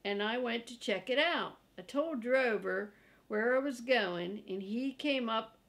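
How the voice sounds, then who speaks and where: An older woman reads a story aloud for children. Her voice sits a little high for a woman, and she takes her time, going slowly through the sentences. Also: creaky, fairly bright tone. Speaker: storybook reader. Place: living room, seated in an armchair.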